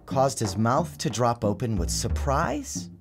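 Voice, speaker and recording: A man narrating his own story as a dramatic storybook reading, in a theatrical, measured and expressive manner. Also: mid-range voice. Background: music.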